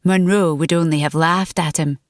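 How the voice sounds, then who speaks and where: A woman reading dramatic fiction as an audiobook narrator, in a measured, deliberate storytelling manner. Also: sits low, fairly even intonation, no echo, clean studio sound.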